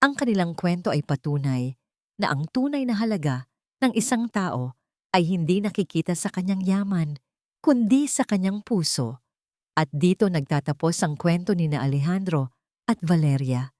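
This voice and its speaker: A woman's voice: on the low side, expressive, moderate pace, mellow, dry recording, clean studio sound. A woman reading a scripted love story as an audiobook narrator.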